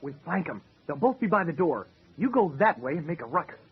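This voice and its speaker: An actor playing a reluctant male sidekick, husky and sarcastically complaining, in an old-time radio adventure. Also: a little high, very animated, a little fast, faint music behind, exaggerated voice. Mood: wry. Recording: dull old-radio style sound.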